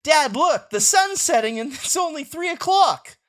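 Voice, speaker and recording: Man playing an excitable, high-pitched scheming little boy. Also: normal speed. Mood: excited. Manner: exclamatory, theatrical. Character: excitable, dramatic, scheming six-year-old boy.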